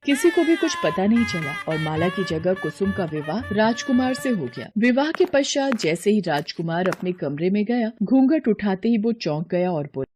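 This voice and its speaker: A woman narrates a children's story from a script. Her voice sits at an average pitch, with the delivery of a fairy-tale narrator. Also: very animated, normal speed, hardly any pauses, noisy background.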